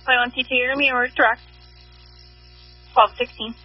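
A woman's voice: on the high side, fairly even intonation, brisk, soft background music. A woman working as a fire dispatcher, reading back units and times formally over a two-way radio. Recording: muffled, husky dispatch radio audio with hum.

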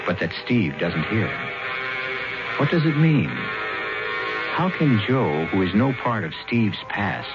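Casting: male host for seventies radio mystery, lowish theatrical narration over loud music. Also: very animated, slow, long pauses. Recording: muffled 1970s radio broadcast.

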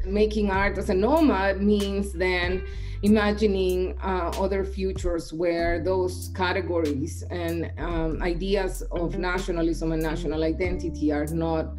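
A woman in her forties from El Salvador, an artist and professor, speaking thoughtfully at home over a webcam with frequent um and uh pauses. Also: on the low side, darkish tone. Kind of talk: reflective interview monologue. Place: indoor home room. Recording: music playing under the voice. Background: guitar music.

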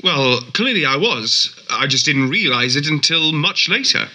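An actor playing an earnest, composed man who claims to be a messiah in a radio play, speaking in a measured, formal way. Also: fairly high pitch, expressive, pushed and bright, heavily acted. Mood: calm, solemn. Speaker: talk show guest. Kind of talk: radio play acting as an interviewee.

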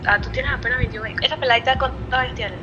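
A woman's voice: a little high, steady tone, rapid-fire, muffled recording, over music. A woman with a Panamanian Spanish accent in a casual phone conversation, speaking bluntly and asking pointed questions. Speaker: friend called on the phone.

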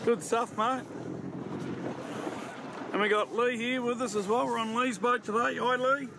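A man with a high voice presents a fishing show from a boat at sea, chatty and upbeat. Loud wind and engine noise surround him out on the open water. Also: fairly even intonation, unhurried.

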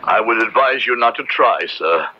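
Give this the voice of a devout, severe Puritan judge. It is piercing and forceful at a middle pitch, with measured, solemn oratory. It comes from a radio play with a muffled old broadcast sound. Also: male voice, animated, a little hiss or hum. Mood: grave, firm. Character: stern, grave, devout Puritan judge and witch hunter. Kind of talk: radio drama acting. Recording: dull, band-limited old radio recording.

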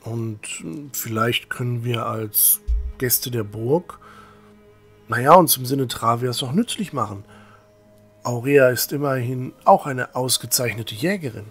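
A man as husky, earnest young monk. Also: medium pitch, a little slow, fairly bright tone, soft background music. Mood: polite, earnest. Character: polite, earnest young male religious brother. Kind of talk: fantasy audio drama acting.

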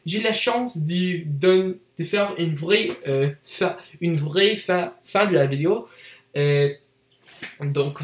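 Young anglophone canadian dude, hesitant french with lots of ums, slightly high voice, roomy echo. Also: male voice, fairly bright tone. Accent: English-speaking Canadian learner of French, with some Quebec French. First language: English. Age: young adult. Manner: hesitant, many fillers and self-corrections, gestures with hands. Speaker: vlogger. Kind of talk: unscripted casual monologue to camera. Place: small indoor room, seated in desk chair.

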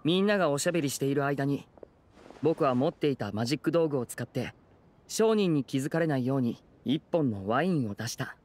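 An actor playing a courteous, smooth-talking teenage magician in an anime game. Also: male voice, medium pitch, lively intonation, brisk, darkish tone, a little hiss or hum, close to an everyday voice. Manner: courteous, persuasive.

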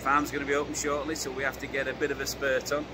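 A man's voice: mid-range voice, monotone, quick pace. A middle-aged man with a Yorkshire accent, speaking plainly and matter-of-factly with hesitant fillers, recorded outdoors in a farmyard. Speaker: farmer. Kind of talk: casual chat. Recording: outdoor noise, tractor nearby.

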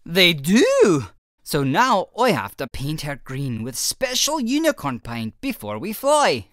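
Lady doing a friendly leprechaun guy, kinda low, bouncy up and down, brogue-ish, storybook read. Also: huge pitch swings, slow, dry recording, clean studio sound, exaggerated voice. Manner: welcoming, explaining patiently. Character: cheerful male leprechaun shoemaker, friendly. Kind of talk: children's picture book read-aloud.

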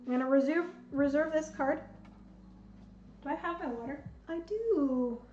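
A young woman, losing her voice, slowly talks herself through a solo board game at home, counting out pieces as she plays. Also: high-pitched, a little hiss or hum. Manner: slow, thinking aloud, counting pieces. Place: home room with bookshelves, indoors. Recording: roomy, slightly dull sound, soft music.

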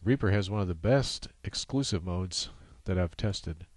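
A man giving a casual, unscripted software walkthrough in halting steps, speaking into a USB microphone. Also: low voice, expressive, no echo. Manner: halting, unscripted step-by-step explaining. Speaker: software tutorial presenter. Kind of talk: casual screen-capture tutorial narration.

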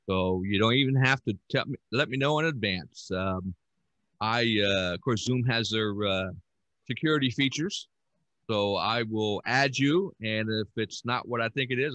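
Bright-voiced older man hosting a podcast. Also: lowish voice, no room sound. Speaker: podcast host. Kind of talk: casual podcast talk. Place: room at home. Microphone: headset on a video call.

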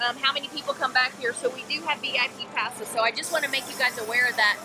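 A woman's voice: sits high, fairly even intonation, fast talker, over music, rough recording. A woman in her forties hosting a travel vlog, chatting casually and laughing easily while filming herself outdoors at a racetrack with a handheld camera. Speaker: travel vlogger host. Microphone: handheld camera at arm's length. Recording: loud outdoor noise.

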